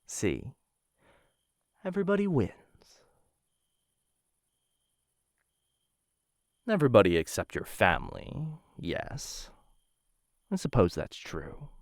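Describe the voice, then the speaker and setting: A man playing a smitten, dangerous assassin of a noble house in an intimate boyfriend roleplay, teasing and coaxing with theatrical pauses. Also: on the low side, wildly swooping pitch, no room sound, clean studio sound, full character voice. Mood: persuasive, playful.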